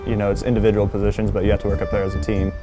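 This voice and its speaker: A man's voice: fairly low pitch, completely flat delivery, racing. A young man, an air traffic controller, explaining his job plainly in a documentary interview. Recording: music bed behind voice.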